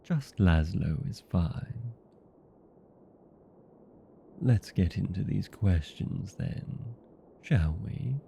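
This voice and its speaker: A man playing a vain, playful, chatty old vampire in a comedic audio roleplay, with a gravelly, husky voice and theatrical, courteous manner. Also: deep voice, expressive, extremely slow, pauses often, warm tone, slight background noise, full character voice. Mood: earnest, chatty.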